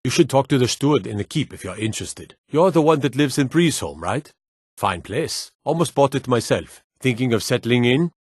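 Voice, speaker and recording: A man voicing a gruff, wary city guard in a fantasy video game, stern, clipped and world-weary. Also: medium pitch, lively intonation, a little fast, no room sound, studio quality. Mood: plain, matter-of-fact. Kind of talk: video game character dialogue.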